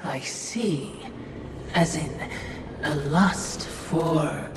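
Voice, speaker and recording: A deferential servant in a fantasy game. Her voice is low and slow, with a curious, questioning manner. She sounds off the mic in an echoing space. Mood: curious, wary. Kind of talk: dramatic video game cutscene acting. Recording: distant, reverberant, clearly noisy game capture.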